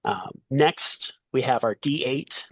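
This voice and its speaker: A man's voice: mid-range voice, takes it slowly, breathy edge, no echo, low-quality recording. A man running a tabletop game as game master, narrating in a casual, playful, conversational way.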